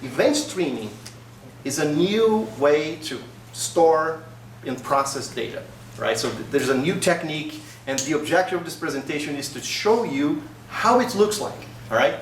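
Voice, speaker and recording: Man, Brazilian Portuguese accent, chatty tech presenter, fairly high voice, distant mic, faint hiss. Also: expressive, moderate pace, reverberant. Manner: conversational, frequent tag questions. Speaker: developer advocate. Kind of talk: conference talk. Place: small meeting room, indoors. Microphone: far camera.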